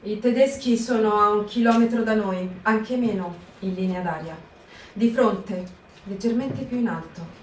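A woman's voice: medium pitch, slight background noise. A woman giving a performed literary reading in a clipped, tense narrating voice. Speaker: reader. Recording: roomy live stage, distant mic.

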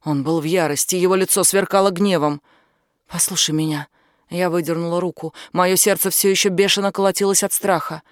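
A woman's voice: fairly low pitch, steady tone, fairly quick, few pauses, no room sound, studio quality. A woman reading a scripted first-person novel as an audiobook narrator.

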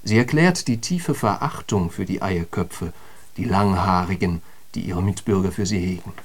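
Eloquent, polemical intellectual, declamatory. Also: male voice, low-pitched, moderate pace. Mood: scornful. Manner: rhetorical, declamatory, emphatic. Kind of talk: literary radio drama monologue.

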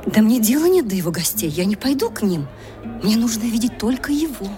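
An actress playing a proud, agitated young gentlewoman in a radio drama, imperious and insistent with servants. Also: a little high, expressive, brisk, music in the background, lightly acted. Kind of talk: theatrical radio drama acting.

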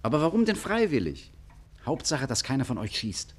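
Lively, cocky gangster boss. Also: male voice, medium pitch, moderate pace. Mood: nonchalant, scoffing. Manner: breezy, dismissive, sarcastic. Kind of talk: 1950s German radio play acting.

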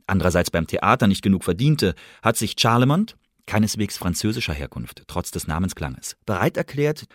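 A man's voice: low-pitched, no room sound, studio quality. An actor playing a remorseful young playwright, reading his formal letter home aloud in a German radio drama. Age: young adult.

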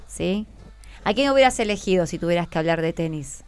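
A woman's voice: on the low side, expressive, fairly quick. A woman with a Buenos Aires accent hosts a conversational radio interview, prompting and encouraging her guests with short questions. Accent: Buenos Aires Spanish. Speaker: radio host interviewing schoolchildren. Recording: music playing under the voice. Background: background music.

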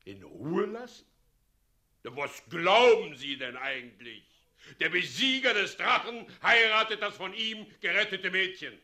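An actor playing a pompous, bullying, gloating tyrant president in a German radio drama, with an imperious, mocking manner. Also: male voice, sits high, expressive, measured pace, pushed and bright, exaggerated voice. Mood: bullying, angry. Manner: imperious, mocking, abrupt commands.

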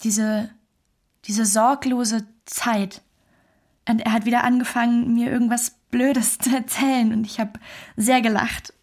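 An earnest woman telling the story of her relationship in a radio play. Her voice sits fairly high, and she speaks in a measured, reflective way. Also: level tone, darkish tone. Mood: tender, nostalgic. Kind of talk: documentary radio drama monologue.

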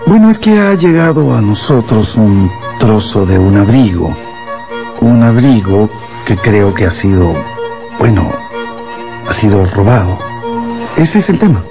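An actor playing a courteous police inspector in a radio drama, probing politely and a little hesitantly, over music. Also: male voice, lowish voice, lively intonation, unhurried. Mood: polite, careful. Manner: polite, hesitant, circling his questions.

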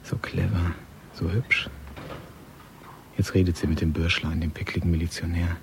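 A man's voice: deep voice, level tone, normal speed, gravelly, slight background noise. An actor playing a cold, calculating criminal man who mutters his scheming thoughts in clipped, sneering phrases in a radio play. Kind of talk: radio play interior monologue. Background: music.

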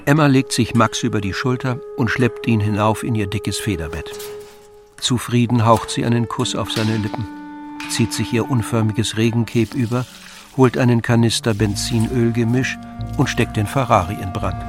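The male narrator of an evening radio drama, telling the story in measured lines between scenes, with clear music underneath. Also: medium pitch. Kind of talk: scripted audio drama narration. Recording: music behind the voice.

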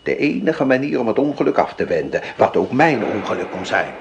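A sly old profiteer and father in a radio play. His voice is deep and he recounts things deliberately, with theatrical weight and calculation. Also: very animated, vocal fry, highs cut off. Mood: sly narrating. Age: older. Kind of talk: radio drama acting.

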